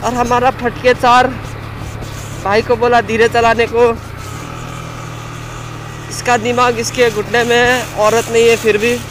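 A man's voice: extremely high pitch, level tone, slow pace, long pauses. A young man teasing his friend with playful swearing outdoors on a road trip. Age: young adult. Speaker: vlogger's friend. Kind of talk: casual banter. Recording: outdoor road and traffic noise.

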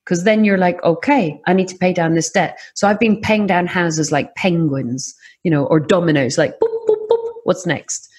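A middle-aged woman from Scotland with an English accent, telling a podcast host about her property investing in a lively, animated way. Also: fairly low pitch, normal speed, hardly any pauses, breathy edge. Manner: lively, animated storytelling with laughs. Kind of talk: podcast interview.

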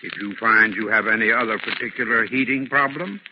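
A man giving measured, scripted advice as an expert in a 1930s radio advertisement. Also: fairly low pitch. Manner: measured, instructional. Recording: muffled, noisy, clicking 1930s radio recording.

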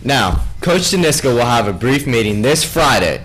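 A young man reads the day's school announcements from a small studio desk, his pitch bouncing all over the place as he cracks jokes between items. He sounds playful and animated, and his voice is mid-range, with a faint hiss in the background. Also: normal speed, rough recording. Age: young adult. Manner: playful, jokey, animated with big hand gestures. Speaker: student news anchor.

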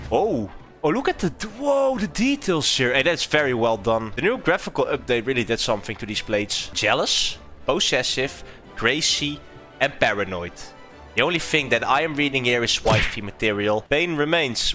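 A young man, his voice bright and somewhat high, talks through his game in loose, casual commentary. He swings up and down in tone, curses freely and reacts with quick amusement, while soft music plays behind him. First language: Dutch. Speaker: streamer.

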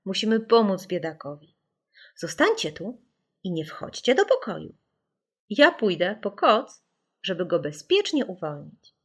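A woman voicing a calm, caring mother who gently and patiently explains things to children in an audiobook. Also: medium pitch, a little slow, slightly rough, full character voice. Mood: decisive.

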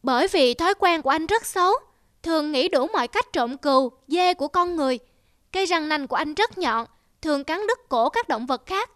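A woman voicing a small, wise forest bird in a cartoon fable, measured and admonishing. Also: sits high, animated, fairly bright tone, dry recording, clean studio sound.

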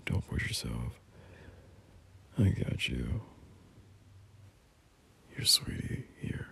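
A caring young boyfriend acted by a man murmurs soothingly in an intimate ASMR roleplay. His voice is extremely low and full of fry, slipping into breathy whispers. The pitch wanders widely as he gently, hesitantly reassures. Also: very animated, drawn-out words, heavily acted. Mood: tender, reassuring.

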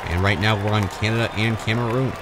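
A man's voice: fairly low pitch, steady tone, fairly quick. A man giving play-by-play sports commentary on a race. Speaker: sports commentator for marble races. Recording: loud crowd noise behind commentary. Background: loud crowd and ambient noise.

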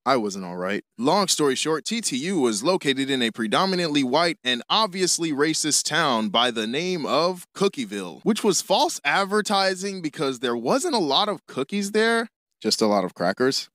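A man's voice: fairly high pitch, very animated, normal speed, a bit bright, no echo, studio quality. A young adult American man narrating a casual, scripted storytime in a slangy, self-deprecating, joking manner. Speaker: storyteller, YouTuber.

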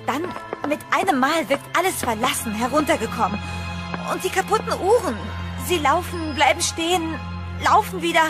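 A woman playing a bold, determined investigator in a German-style horror radio play, acted for audio. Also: sits high. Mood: puzzled, uneasy. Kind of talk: horror radio drama acting.

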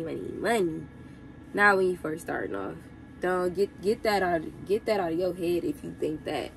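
A young woman truck driver giving casual advice to her viewers, sounding congested from a cold, chatty and relaxed. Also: fairly low pitch, a little hiss or hum, poor audio.

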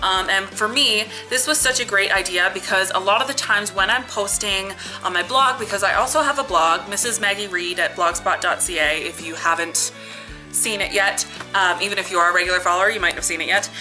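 A woman's voice: medium pitch, fairly even intonation, fairly quick, faint music behind. A young woman with a cold, chatting casually to camera in her living room in a sniffly, upbeat way, with lots of likes and ums. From Canada. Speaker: beauty and family vlogger. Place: living room in front of a lit Christmas tree, indoors. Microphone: camera close in front.

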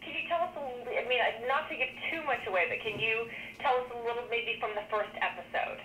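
A woman hosting a radio talk show, chatting eagerly with her guest and asking quick questions. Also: a little high, a crowd in the background, poor audio.

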